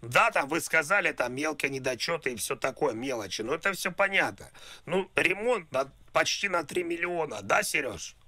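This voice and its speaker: A man on a prank call, talking in a halting, repetitive way like a naive, confused customer. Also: on the high side, lively intonation, sharp tone, dry recording. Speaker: prank caller. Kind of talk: prank phone call, rambling improvised talk.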